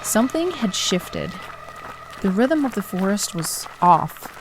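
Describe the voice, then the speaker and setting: An actress plays a determined, anxious young runner telling her own horror story. Her earnest, mid-pitched voice carries suspense, with soft music behind it. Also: big pitch swings, slow pace, poor audio. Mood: tense narration. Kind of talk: horror audio drama acting and narration.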